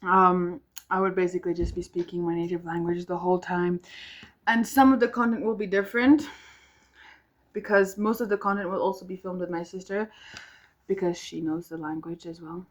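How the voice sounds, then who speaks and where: Young south african woman, afrikaans first language, low-ish voice, rambly casual chat at home, kinda muffled lo-fi recording. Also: steady tone, moderate pace. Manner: rambling, many ums and restarts. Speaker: vlogger. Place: home room with music downstairs.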